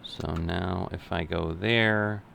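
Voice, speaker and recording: A middle-aged man giving unscripted simulation game commentary, thinking aloud in a methodical, halting way. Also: sits low, steady tone, creaky, a bit bright, slight background noise. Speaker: gaming video host.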